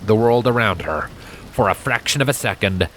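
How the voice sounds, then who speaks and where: A man's voice: lowish voice, animated, normal speed, noisy background. A man narrating a fantasy story in a dramatic, scripted storytelling style. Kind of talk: dramatic fan fiction narration. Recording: rain sound effects behind voice. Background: rain ambience.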